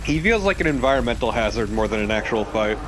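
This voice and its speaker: A man with a mid-pitched voice talks casually as a co-commentator on a let's play. Clear background noise sits behind his conversational remarks. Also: lively intonation, fast talker.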